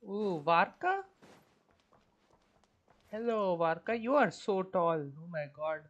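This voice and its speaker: A woman's voice: fairly low pitch, animated, takes it slowly, dull audio. A woman livestreaming a game with casual commentary.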